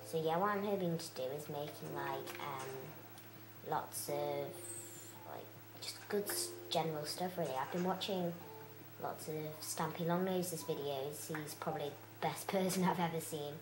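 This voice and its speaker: A young girl rambles through her let's play with plenty of fillers, her voice croaky and gravelly. She talks low for her age. The room around her sounds echoey. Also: moderate pace, music in the background. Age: child. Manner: chatty, rambling, many fillers. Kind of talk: casual game commentary.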